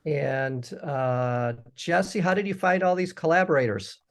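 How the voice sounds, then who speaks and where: A man's voice: a little high, a little slow. A man hosting an interview, asking questions and reading out viewer comments.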